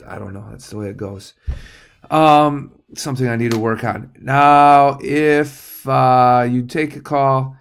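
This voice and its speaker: A man's voice: mid-range voice, creaky. A man giving an informal, plain-spoken training talk to new staff as their manager, often checking that they follow. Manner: plain-spoken, instructive, checks understanding with tags.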